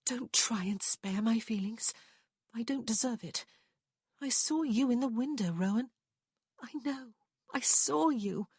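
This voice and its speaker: A woman's voice: fairly high pitch, a little slow, pauses often, darkish tone, slightly rough, no room sound, studio quality, voice pushed up. A woman voicing an anxious young lady in love who speaks tenderly and breathily. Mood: distraught.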